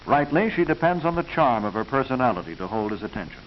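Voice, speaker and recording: An American man reading scripted narration for a 1940s educational film, instructive and proper, with measured enunciation. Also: mid-range voice, big pitch swings. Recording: hissy, muffled 1940s film soundtrack.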